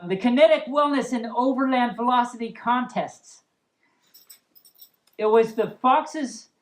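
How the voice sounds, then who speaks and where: A woman's voice: on the high side, low-quality recording. A woman reading a humorous story aloud as host, playful and giggly, breaking off for casual asides. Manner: playful, giggly, stumbles over words and riffs on them.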